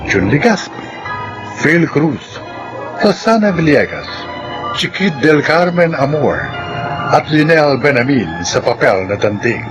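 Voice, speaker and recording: A man announcing a radio drama in a formal, measured, scripted style with dramatic delivery. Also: a little high, very animated, music in the background, low-quality recording.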